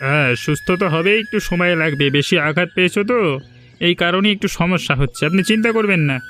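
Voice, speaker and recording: A man's voice: sits high, fast, sharp tone. A man voicing an earnest, cautioning village doctor in a cartoon. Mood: earnest, advising. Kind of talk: Bengali cartoon dialogue. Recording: music behind the voice.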